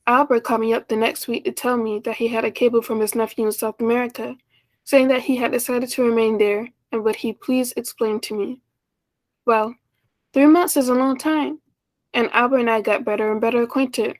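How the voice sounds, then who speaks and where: Woman acting high-voiced, earnest lonely schoolteacher in radio drama. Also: steady tone, brisk, close to an everyday voice. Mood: reflective narrating. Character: lonely, earnest schoolteacher of thirty-six, apologetic and tender. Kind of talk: 1940s radio play acting.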